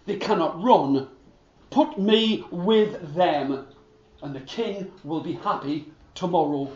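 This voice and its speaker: A man doing a hushed, wise talking horse. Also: on the high side, slow pace, roomy sound, highs cut off. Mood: calm.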